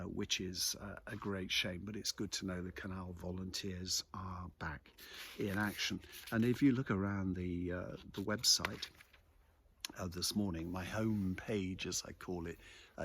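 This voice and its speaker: Slow, deep, husky older man. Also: steady tone, fairly bright tone, slight background noise. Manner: hesitant, rambling, reflective. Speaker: local news vlogger. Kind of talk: casual monologue about local events. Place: outdoors in a quiet churchyard.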